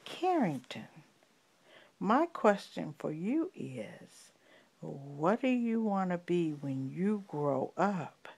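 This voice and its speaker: A woman voicing a patient, solemn male gatekeeper who questions children deliberately in a bedtime story. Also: lowish voice, sing-song, gravelly, a little mellow, breathy edge, heavily acted.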